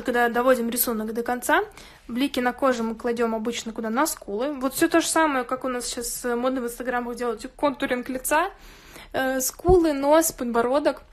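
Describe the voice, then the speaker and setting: A woman hosting an informal drawing tutorial, chatting and thinking aloud as she works. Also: on the high side. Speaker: art tutorial host. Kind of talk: informal drawing tutorial, explaining while working. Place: indoors at a drawing table.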